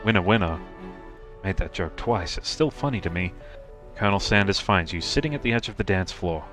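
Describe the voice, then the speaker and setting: A man with a deep voice gives theatrical, playful narration for a comic game. His delivery swings widely in pitch, with faint music behind. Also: lively intonation, fairly quick, a bit bright. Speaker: narrator and streamer.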